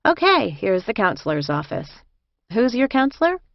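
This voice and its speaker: A woman voicing a brisk, no-nonsense woman inmate showing a newcomer around, in audiobook dialogue. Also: a little high, very animated, dry recording, highs cut off, voice pushed up. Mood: businesslike.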